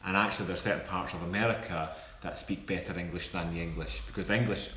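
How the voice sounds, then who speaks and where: Bright Scottish man in casual chat. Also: low-pitched, steady tone, quick pace, echoey room. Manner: laughs often, chatty. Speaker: livestream co-host.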